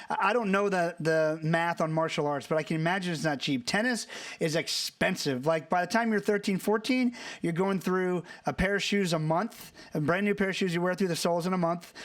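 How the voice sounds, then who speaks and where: High-voiced reflective male host. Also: fairly bright tone. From United States. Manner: reflective, conversational, talks in loose fragments. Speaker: podcast host. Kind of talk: casual interview chat.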